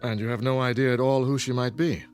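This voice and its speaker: A man's voice: medium pitch, fairly even intonation, fast, soft background music, lightly acted. An actor playing a stern, wealthy, protective middle-aged father in a radio drama, speaking formally and with authority. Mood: calm, coaxing.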